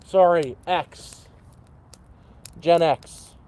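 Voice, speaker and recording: A man's voice: on the high side, very slow, dark tone, clear, resonant tone. A man in his thirties chatting casually to his livestream chat outdoors by a campfire, rambling and swearing freely. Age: 30s. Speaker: livestream host.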